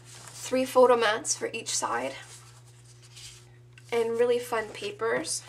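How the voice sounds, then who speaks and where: A woman in her thirties with a sore throat, casually showing off her handmade scrapbook album in a relaxed, chatty, enthusiastic way. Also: a little high, slow pace, a little hiss or hum. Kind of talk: casual show-and-tell.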